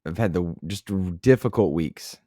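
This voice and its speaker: A man's voice: sits low, animated, normal speed, dark tone, dry recording, studio quality. A man hosting a gaming podcast, earnest and reflective, whose voice is getting worn. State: losing his voice. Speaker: podcast host. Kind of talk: casual podcast chat.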